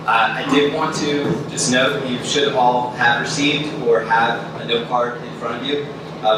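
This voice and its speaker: Man hosting a forum, lots of uhs, really echoey hall, loud background noise. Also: fairly low pitch, far from the mic. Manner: frequent "uh" fillers, formal welcoming tone. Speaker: forum moderator.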